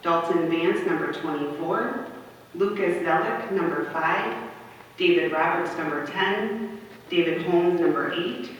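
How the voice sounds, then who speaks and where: A woman's voice: fairly low pitch, unhurried. Woman in a very echoey hall. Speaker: city councilwoman. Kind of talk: formal proclamation reading at a public meeting. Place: large indoor council chamber. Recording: echoey hall from far off, muffled, faint noise.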